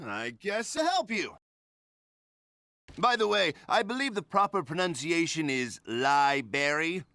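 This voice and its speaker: Casting: cocky dim cartoon official, animated pitch, gravelly, pompous. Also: male voice, no room sound, heavily acted. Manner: pompous, emphatic declarations. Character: cocky, dim-witted, power-drunk male cartoon echidna official. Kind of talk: cartoon comedy acting.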